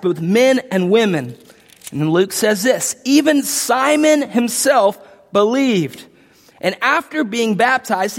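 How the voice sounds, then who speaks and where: A man preaching a verse-by-verse sermon, his voice a little lower from illness, earnest with frequent restarts and rising emphasis. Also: long reverb tail. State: sick, voice deeper than usual. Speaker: pastor.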